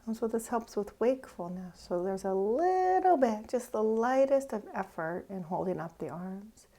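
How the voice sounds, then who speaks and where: A woman meditation teacher leading a guided meditation gently, with pauses, often trailing off into a breathy near-whisper. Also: medium pitch, expressive. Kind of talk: guided meditation, unhurried and instructive.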